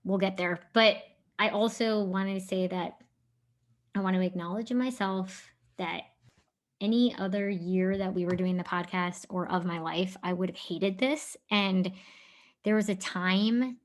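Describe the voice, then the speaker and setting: A woman's voice: mid-range voice, fairly even intonation, measured pace. A woman in her late thirties co-hosting a podcast, speaking candidly and informally as she reflects on herself. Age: late 30s. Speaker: podcast co-host being interviewed. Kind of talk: casual podcast chat.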